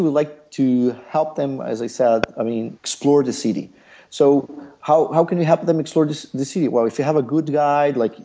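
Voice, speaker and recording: Brazilian man explaining in halting English. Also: mid-range voice, dark tone, lo-fi sound. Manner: thoughtful, many pauses and fillers. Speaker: startup founder. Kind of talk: business interview.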